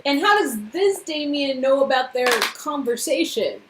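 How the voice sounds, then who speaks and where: A woman's voice: high voice, expressive, normal speed, slight background noise, poor audio. A woman co-hosting, reacting to a story game in casual chat. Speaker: channel co-host.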